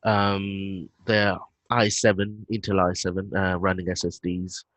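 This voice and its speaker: A British man on a video call, explaining his work in a relaxed way with frequent fillers. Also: low voice, a little slow, no echo. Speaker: guest, head of operations.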